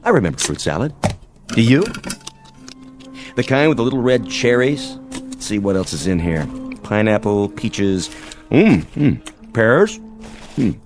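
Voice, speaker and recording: A man's voice: fairly low pitch, sing-song, a little slow, long pauses. An actor playing a cocky, mocking army major in a radio drama, sarcastic and theatrical in his taunts. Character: cocky, mocking military major, a swaggering intruder. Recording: radio drama with music underneath.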